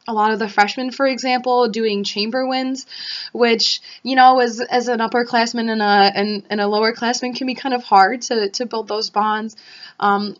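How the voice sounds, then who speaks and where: A woman's voice: a little high, muffled recording. A young woman in her twenties giving an earnest audition statement for a student leader role, thoughtful and full of ums and restarts. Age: 20s. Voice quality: loud voice. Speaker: student applying for section leader.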